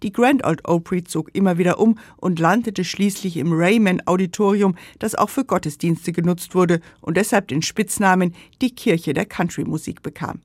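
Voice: medium pitch, normal speed, few pauses, darkish tone, no echo, clean studio sound. A narrator reading a scripted radio history feature.

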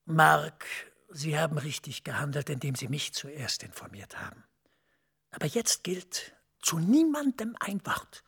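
An actor playing a calculating male EU director general, measured and authoritative, dropping into hushed asides. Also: fairly high pitch, very animated, creaky, breathy edge, exaggerated voice. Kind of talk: German TV drama acting.

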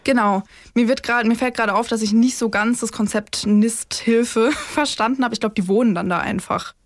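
A woman chatting casually as a podcast co-host, informal and quick to laugh. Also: on the high side, dry recording. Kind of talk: casual chat between co-hosts.

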